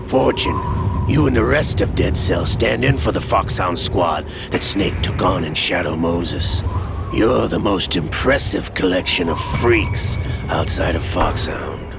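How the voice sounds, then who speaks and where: An actor playing a cold, scheming older male villain in a video game, calm, measured and mocking as he lays out a plot. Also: low-pitched, vocal fry, background noise, exaggerated voice. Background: game score music.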